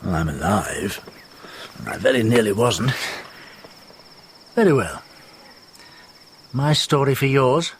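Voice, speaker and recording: An actor in a radio drama playing a learned older writer, gently philosophical, wry and a little hesitant. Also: male voice, mid-range voice, animated, takes it slowly, pauses often, a little hiss or hum. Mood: reflective. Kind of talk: radio drama acting and storytelling.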